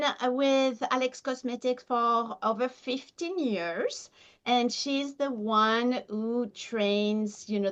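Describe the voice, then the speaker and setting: A woman's voice: fairly high pitch, fairly bright tone, highs cut off. A woman hosting a skincare training webinar over a video call, introducing her guest with enthusiasm. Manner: enthusiastic, encouraging.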